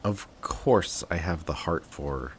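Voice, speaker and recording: Guy acting as a sardonic villain, really low voice, intense dramatic pauses, audio roleplay, noticeable background noise. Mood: cold, dismissive. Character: bitter adult male villain, guarded, secretly devoted to rival. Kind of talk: emotional audio roleplay monologue to the listener. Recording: clearly audible background noise.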